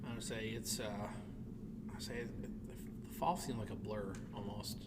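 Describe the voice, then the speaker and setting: A man from Illinois co-hosting a casual podcast chat, animated and laughing often as he tells stories. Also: lowish voice, normal speed. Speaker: podcast co-host, music teacher. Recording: echoey room from a distance with background noise.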